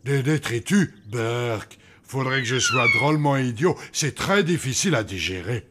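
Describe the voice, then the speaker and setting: A man voicing a gentle, dim-witted zombie in a French cartoon, speaking in a hesitant, simple-minded way. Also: mid-range voice, wildly swooping pitch, measured pace, slight background noise. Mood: slow, mild. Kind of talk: French animated cartoon dubbing. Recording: loud music behind the voice.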